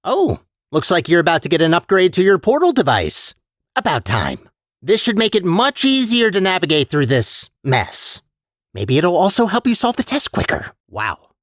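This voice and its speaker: Man voicing an animated, anxious robot core guide. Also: high-pitched, no echo, studio quality, heavily acted. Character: chatty, anxious male robot personality core running test chambers. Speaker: video game character, guide to the player. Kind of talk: animated video game voice acting.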